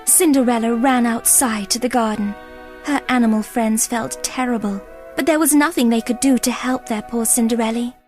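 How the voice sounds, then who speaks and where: A woman narrating a scripted children's story in an expressive, dramatic way. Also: a little high, moderate pace, soft background music.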